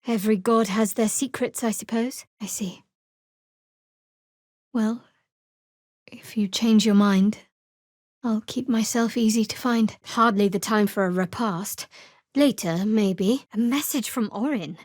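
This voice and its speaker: An actress voicing a guarded, wry and devout young female cleric in a fantasy video game, with a cool, measured, dryly sardonic delivery. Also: mid-range voice, darkish tone, no room sound, studio quality, lightly acted. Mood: composed, wry.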